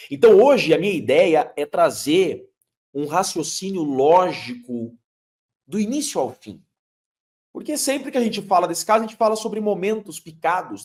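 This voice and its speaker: Lawyer guy in his 30s, lecturing hard, voice sits a bit high and jumps all over. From Curitiba, Brazil. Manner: emphatic, lecturing with rhetorical questions. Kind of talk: explanatory legal talk.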